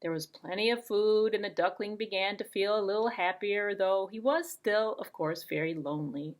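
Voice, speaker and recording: A woman narrating a children's storybook puppet show in an expressive, deliberate way with playful sound words. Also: medium pitch.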